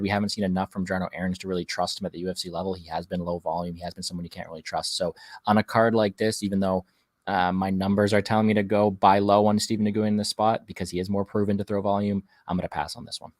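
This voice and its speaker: A man giving a measured, analytical breakdown of a fight bet. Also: fairly low pitch, no room sound, studio quality. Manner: flat, quick, analytical. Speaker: betting analyst guest.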